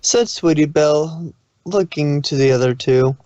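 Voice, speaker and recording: A man's voice: fairly high pitch, unhurried, dark tone, dry recording, highs cut off. A man reading scripted fan fiction as the narrator of a dramatic story.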